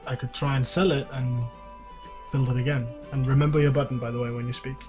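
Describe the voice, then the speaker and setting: Male game streamer over soft music. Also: medium pitch, level tone, quick pace. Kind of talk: casual live gameplay commentary. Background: background music.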